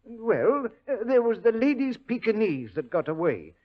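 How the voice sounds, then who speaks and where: An actor playing a deferential, fretful railway station official who explains hesitantly, in 1940s radio drama style. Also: male voice, high voice, sing-song, normal speed. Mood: earnest, puzzled. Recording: dull old radio recording.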